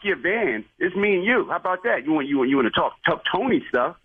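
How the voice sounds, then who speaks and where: A man in a spontaneous phone conversation, husky and defensive, stammering and repeating his words, heard over a phone line. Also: fairly high pitch, very animated, quick pace. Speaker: church parking attendant on the phone. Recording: tinny narrowband phone line.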